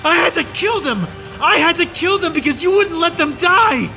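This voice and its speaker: A man playing an enraged human soldier, tied up and hurling a breathless, shouted tirade of hatred in an audiobook. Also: high voice, steady tone, measured pace, gravelly, faint music behind, pitched above the performer's own voice, exaggerated voice. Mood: raging. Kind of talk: audiobook reading.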